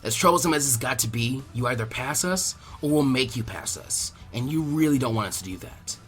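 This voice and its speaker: Male reader as dry, clever, weary anime boy strategist, lowered register, unhurried. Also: animated, fairly bright tone, faint music behind, lightly acted. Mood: weary threat.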